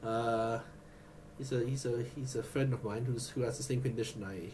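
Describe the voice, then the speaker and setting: A man vlogging in a rambling, halting way, with many restarts and fillers. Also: mid-range voice, steady tone, darkish tone, a little hiss or hum. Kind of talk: rambling personal vlog.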